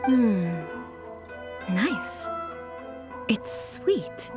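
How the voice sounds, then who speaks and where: An actress playing an almighty, composed and curious female ruler in a video game, speaking in a measured, formal way. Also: a little high, sing-song, heavily acted. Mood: curious, pleased. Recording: light music underneath.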